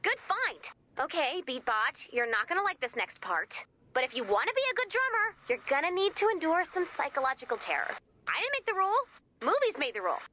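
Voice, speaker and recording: A woman playing a perky, excitable robot who gushes like a nerdy music superfan in a video game. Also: high voice, a little fast, exaggerated voice. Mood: upbeat. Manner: gushing, quippy, theatrical. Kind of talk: video game character dialogue.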